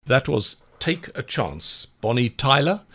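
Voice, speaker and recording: A male radio host with a low, gravelly voice that rises and falls a great deal. He talks in a conversational, reflective way as he introduces music and looks back on the guest's answers. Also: big pitch swings, unhurried, fairly bright tone. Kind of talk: radio interview hosting.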